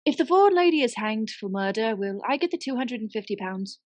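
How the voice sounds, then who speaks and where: An actress playing a naive, chatty young parlour maid in a radio play, gossipy and a little aggrieved. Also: female voice, on the high side, lively intonation, brisk, darkish tone, no echo, natural voice. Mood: eager, artless. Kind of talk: dramatic radio-play acting.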